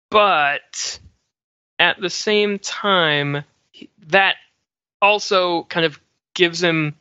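Very bright, sharp-voiced man chatting on podcast. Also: high voice, no echo. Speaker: podcast panelist. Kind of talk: casual pop-culture discussion. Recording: dry but dull lo-fi audio.

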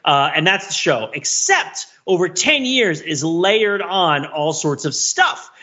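A man with a forceful, bright voice that sits a little high, co-hosting a casual film discussion. He rambles and digresses in an animated, wryly analytical way. The audio is slightly dull and lo-fi. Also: takes it slowly. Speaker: podcast co-host.

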